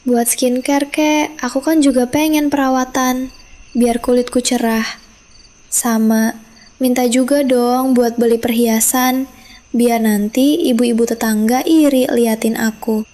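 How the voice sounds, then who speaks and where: A woman's voice: fairly high pitch, steady tone, a little slow, dark tone, lightly acted. A woman voicing a greedy, nagging middle-aged village wife who talks in a whiny, scornful way.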